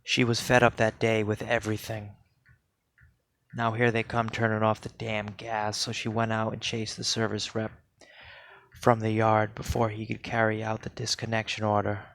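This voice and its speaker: A man reads poetry aloud in a solemn spoken-word style, with deliberate, measured phrasing and pauses. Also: fairly low pitch, completely flat delivery, a bit bright. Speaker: poetry reader.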